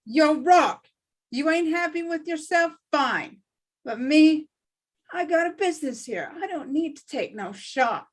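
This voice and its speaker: Woman reading high-voiced, cynical bartender Andy. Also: lively intonation, unhurried. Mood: defensive. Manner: jaded, slangy complaining. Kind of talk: screenplay table read acting.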